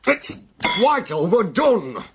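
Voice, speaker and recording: Blustering, high-pitched English household tyrant. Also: male voice, sing-song, slow pace, fairly bright tone, a little hiss or hum, full character voice. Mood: disgusted complaint. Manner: blustering, pompous, scolding. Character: irascible, fault-finding Victorian father and household master. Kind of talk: period audio drama acting.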